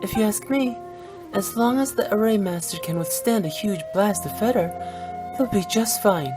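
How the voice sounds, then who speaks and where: A woman's voice: mid-range voice, normal speed, music in the background, close to an everyday voice. A woman playing a cheeky, self-assured young funeral director in a video game, breezy and playful. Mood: breezy, confident. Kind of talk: English video game voice acting.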